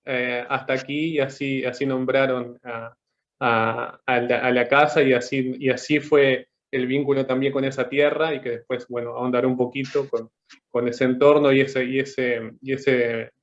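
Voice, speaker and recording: A man, an artist speaking on a panel over a video call, thoughtful and halting as he searches for words. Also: medium pitch, little pitch movement, highs cut off. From Uruguay. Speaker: artist guest. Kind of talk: panel discussion.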